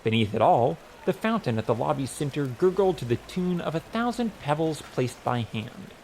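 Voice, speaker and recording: Expressive male audiobook narrator with swooping pitch. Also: normal speed, a little hiss or hum. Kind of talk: scripted story narration.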